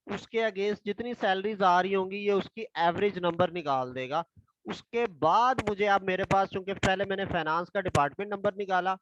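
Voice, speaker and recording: A hoarse man, a tutor slowly reading exam questions aloud and explaining them step by step. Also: high-pitched, fairly bright tone, highs cut off. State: hoarse from a throat infection.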